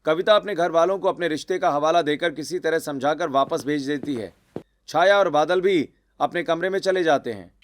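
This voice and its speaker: A man reading scripted narration for an animated family drama story. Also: fairly high pitch, normal speed. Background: music.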